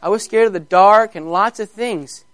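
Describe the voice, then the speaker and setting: A man voicing a brave, kindly man of faith who speaks gently and reassuringly. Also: sits high, moderate pace, no room sound. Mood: earnest.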